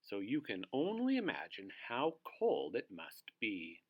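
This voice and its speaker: A man's voice: on the low side, huge pitch swings, a little slow, muffled recording. A man hosting a read-aloud for young children, narrating theatrically and putting questions to his young listeners.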